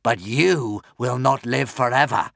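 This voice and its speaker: A man voicing a huge, tormented male dragon, hissing slowly and with menace. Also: mid-range voice, vocal fry, fairly bright tone, dry recording, studio quality, voice pushed up. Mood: weary.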